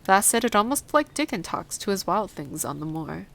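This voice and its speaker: A woman's voice: mid-range voice, sing-song, moderate pace, a bit bright, a little hiss or hum. A woman voicing a surly, plain-spoken old gardener in a storybook reading. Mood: grudgingly warm. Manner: blunt, gruff dialect speech. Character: surly, blunt old Yorkshire gardener man.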